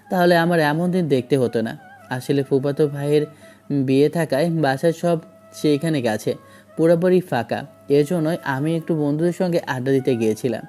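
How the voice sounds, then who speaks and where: A man tells a first-person love story in scripted, dramatic narration. His voice is mellow and medium-pitched, with background music throughout.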